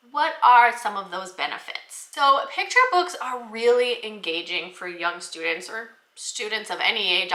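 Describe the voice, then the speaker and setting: Bright, high-voiced woman explaining in a roomy space. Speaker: educator presenting classroom book recommendations. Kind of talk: informal instructional talk to teachers.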